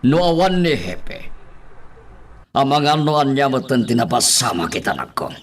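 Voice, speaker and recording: A man's voice: mid-range voice, sing-song, moderate pace. An actor with an Ilocano accent playing a protective father and crime boss in a radio drama. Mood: stern, brooding.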